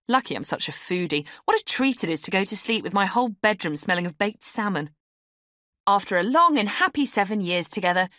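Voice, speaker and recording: A woman's voice: mid-range voice, fairly bright tone, no echo, studio quality. A British woman voicing a brittle, falsely cheery young newsletter writer, with arch, sarcastic emphasis, for an audiobook. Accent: British English. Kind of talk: satirical audiobook monologue as a round-robin email.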